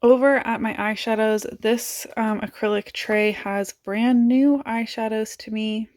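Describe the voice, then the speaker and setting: A woman beauty vlogger chatting casually about her makeup, raspy as she loses her voice and thinks aloud in a self-mocking way. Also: on the high side, steady tone, measured pace, darkish tone.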